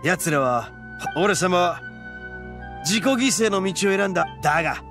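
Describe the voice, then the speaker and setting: A proud young oni gang leader from an anime game, speaking in rough, blunt slang with a swagger. His voice sits a little high for a man, and music plays underneath. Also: expressive, measured pace. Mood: solemn, explaining. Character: brash, proud young male horned oni gang boss.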